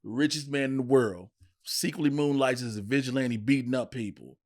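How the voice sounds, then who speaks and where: A man's voice: mid-range voice, normal speed, a little mellow. A man co-hosting a comedic podcast, chatting casually and profanely, giving strong opinions and acting out imagined dialogue. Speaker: podcast co-host.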